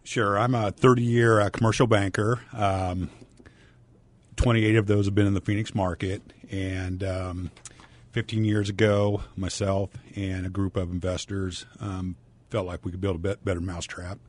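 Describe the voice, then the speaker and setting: Deep, flat-voiced businesslike man. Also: normal speed. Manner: businesslike, steady, with many fillers. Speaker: guest, bank president. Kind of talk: radio interview.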